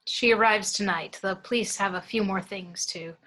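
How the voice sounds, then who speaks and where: A woman's voice: medium pitch, normal speed, sharp tone. A woman at a home table read playing a kindly, nervous man in his seventies who runs a funeral home, polite and hesitant. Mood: apologetic, polite. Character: kindly, nervous, hushed 73-year-old male funeral director.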